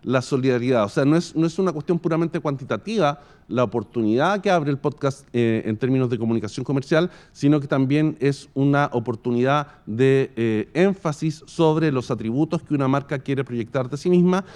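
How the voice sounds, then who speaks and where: A man with a Chilean accent giving an explanatory business presentation as a company executive, in formal, halting, deliberate phrases with long winding sentences. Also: mid-range voice, a little mellow. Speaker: radio and podcast company executive presenting a case study.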